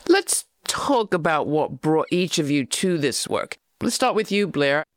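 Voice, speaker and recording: A woman hosting an interview, asking her guests questions in a friendly, measured way. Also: low-pitched, very animated, no echo, studio quality. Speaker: interview host. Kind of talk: conversational interviewing.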